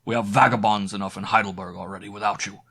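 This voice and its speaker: A man's voice: fairly low pitch, steady tone, fast talker, creaky, a bit bright, slightly rough, heavily acted. A man voicing a contemptuous rider giving a curt warning in a storybook reading. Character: scornful male rider warning off strangers.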